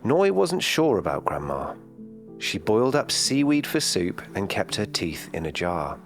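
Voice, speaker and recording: Low-voiced man reading a calm story. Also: measured pace, faint music behind. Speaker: children's story reader. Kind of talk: calm bedtime story narration.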